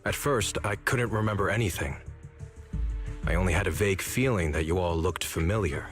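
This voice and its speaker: A man voicing a determined, protective older-brother swordsman in a video game, measured and formal in his speech. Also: deep voice, natural voice. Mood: measured, grave. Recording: game music behind the voice.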